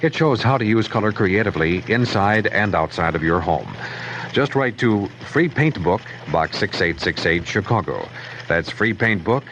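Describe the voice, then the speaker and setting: Male ad announcer, low pitch, lively swings, wry scripted delivery, 1970s radio, faint background noise. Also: moderate pace. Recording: slightly noisy vintage radio broadcast.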